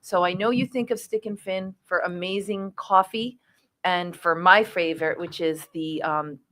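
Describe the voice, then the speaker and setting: A woman's voice: on the low side, moderate pace, fairly bright tone. A woman hosting a casual interview show, talking in a chatty, enthusiastic way. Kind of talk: casual chat.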